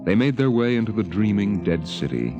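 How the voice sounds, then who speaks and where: A man's voice: on the low side, sing-song, brisk, highs cut off. A man narrating a 1950s radio drama in a solemn, lyrical, dramatic manner. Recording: old radio recording with music.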